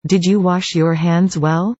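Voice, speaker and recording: A woman's voice: lowish voice, steady tone, slow, a little mellow, no echo, muffled recording. A woman reading short English questions for a language lesson in a careful, scripted way. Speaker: English dialogue reader.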